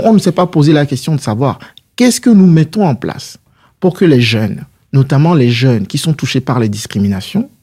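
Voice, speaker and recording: This is a man with a husky, slightly high voice, speaking as a guest in a political interview. He lays out his points in a structured, didactic way, using rhetorical questions. The tone of the voice is soft-edged and mellow. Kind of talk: measured political interview answers.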